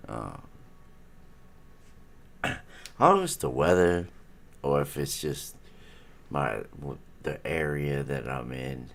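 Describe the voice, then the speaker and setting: A man's voice: extremely low pitch, big pitch swings, slow, gravelly, pauses often, a bit bright. A middle-aged man hosting a casual live stream from home, rambling as he chats with viewers, his nose stuffy. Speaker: livestream host.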